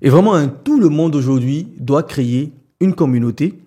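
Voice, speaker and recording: A male podcast host with a somewhat high, mellow voice that carries a breathy rasp. He speaks in relaxed, slangy French, with plenty of casual fillers. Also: normal speed. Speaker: podcast host, business content creator.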